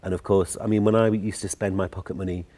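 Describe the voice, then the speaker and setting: A man's voice: low voice, little pitch movement, fast talker, dark tone. A middle-aged man with a Geordie accent, a choreographer chatting in an interview, telling his story with restarts and laughs. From Newcastle, England. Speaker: guest choreographer. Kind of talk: casual interview chat.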